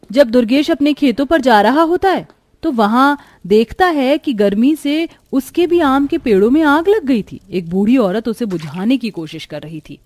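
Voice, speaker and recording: A woman's voice: sits high, darkish tone, dry recording. A woman reading scripted story narration as the narrator of a village tale.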